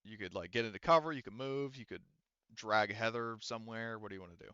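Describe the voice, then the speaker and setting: A man with a bright, smooth voice works as a game master, talking players through a tabletop game. He explains rules casually and thinks aloud, in a close, dry recording that sounds slightly dull. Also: lowish voice, quick pace, no room sound.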